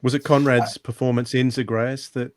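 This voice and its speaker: A man with a mid-pitched voice co-hosts an interview show. He talks informally and conversationally, and the sound is dry, with no room around it. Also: little pitch movement, moderate pace, no room sound. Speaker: podcast co-host. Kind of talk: casual podcast interview chat.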